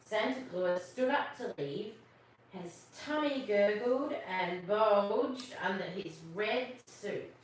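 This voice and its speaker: A woman reading a children's story aloud as host, expressive, drawing out her words. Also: mid-range voice. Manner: expressive, drawn-out words, questions to listeners. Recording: very echoey, distant mic, faint noise.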